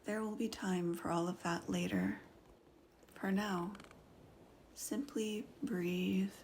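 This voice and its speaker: A woman guides a meditation in a hushed, partly whispered voice, drawing every phrase out very slowly. Her pitch sits mid-range for a woman. A faint ambient hiss lies under the recording. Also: steady tone. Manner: soft, slow, often whispered.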